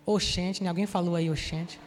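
A man in his forties from Salvador with a Bahian accent, preaching a sermon and telling personal stories, speaking to the congregation directly with lively asides. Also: high-pitched, normal speed, long reverb tail, a little hiss or hum. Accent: Bahian Brazilian Portuguese. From Salvador, Bahia, Brazil. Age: 40s.

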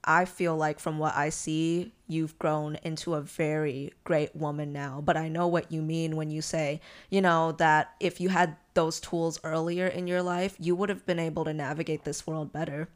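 A woman's voice: sits low, little pitch movement, normal speed, few pauses. A woman co-hosting a podcast in a casual, chatty style. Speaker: podcast co-host.

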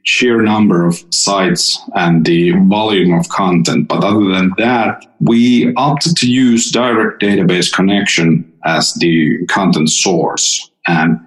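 A man's voice: low-pitched, fairly even intonation, slow, few pauses. A man from Finland with a Finnish accent, a podcast guest giving prepared, explanatory interview answers. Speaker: podcast guest, software developer. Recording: roomy echo on the voice.

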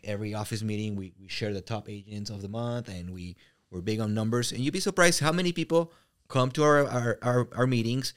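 A man with a Mexican Spanish accent and a somewhat low voice tells stories as a podcast guest. His delivery is animated, full of restarts and fillers, and he often repeats words as he builds up a point. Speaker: real estate brokerage owner, guest. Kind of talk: casual podcast interview.

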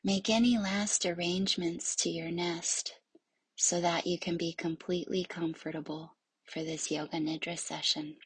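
A woman leading a guided yoga nidra meditation in a soothing, hushed, breathy voice that often nears a whisper, with long pauses. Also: lowish voice, little pitch movement, no echo.

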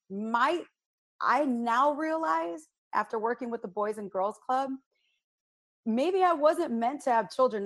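A woman from the south side of Chicago, a radio personality speaking as a panel guest in an outspoken, blunt, animated storytelling manner. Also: on the high side. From United States, south side of Chicago. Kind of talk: candid panel discussion.

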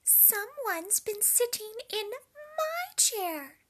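A woman voicing a fussy mama bear in a storybook reading, pitched very high and slow. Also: big pitch swings, fairly bright tone, heavily acted. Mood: indignant.

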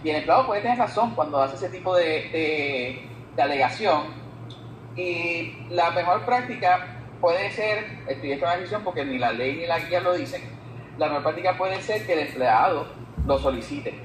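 A man with a Puerto Rican Spanish accent explaining as an expert guest in an interview, haltingly repeating words as he reasons. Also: on the high side, lively intonation. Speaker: guest expert on labor law. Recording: echoey room with some background noise.

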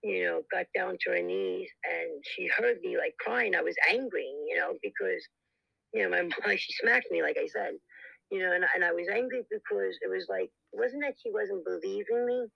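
An older guest with a raspy voice, telling a story in a casual, rambling way with many restarts and frequent fillers. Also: medium pitch, moderate pace, no echo. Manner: rambling, lots of restarts and "you know". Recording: dull lo-fi recording.

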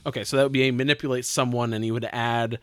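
Male tabletop player, medium pitch, conversational delivery, no room echo. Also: little pitch movement, quick pace, fairly bright tone, clean tone, clean studio sound. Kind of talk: casual tabletop game chat, explaining rules.

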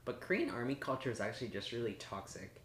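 A man's voice: medium pitch, big pitch swings, fast talker, a bit bright, roomy sound, slight background noise. A young man co-hosting a podcast, telling stories in an easygoing, casual way. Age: young adult. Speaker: podcast co-host.

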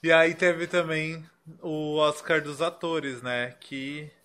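A Brazilian man co-hosting a casual film podcast, relaxed as he recaps. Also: fairly high pitch, slow pace. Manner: relaxed, recapping, light laughs. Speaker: podcast co-host.

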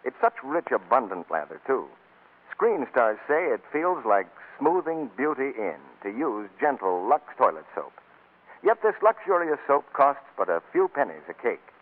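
A man's voice: mid-range voice, very animated, moderate pace. A man announcing for a sponsor in a polished, scripted 1940s radio style, on a tinny old recording. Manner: polished sales delivery.

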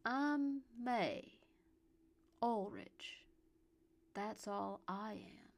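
Narrator as young woman in a story, gentle n teasing, kinda creaky, takes her time. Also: a little high, lively intonation, slow pace. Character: playful, kindly young woman indulging a drunk admirer.

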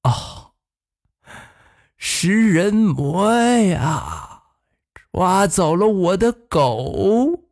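A high, tearful old wanderer. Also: big pitch swings, drawn-out words, pauses often, darkish tone, full character voice. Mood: tearful, pleading. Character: sad, weary old person, weeping and pleading.

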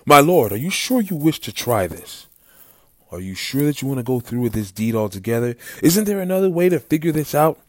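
A man's voice: medium pitch, very animated, a little fast, dry recording, studio quality, voice pushed up, natural voice. A man voicing an anxious, fussing young royal attendant in a story, pleading and flustered. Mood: worried pleading.

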